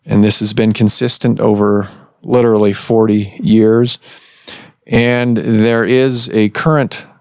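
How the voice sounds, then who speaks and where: A man hosting a medical podcast as a doctor, explaining in a measured way with some fillers, recorded in a clinic office. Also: on the low side, flat delivery, smooth, dry recording, studio quality.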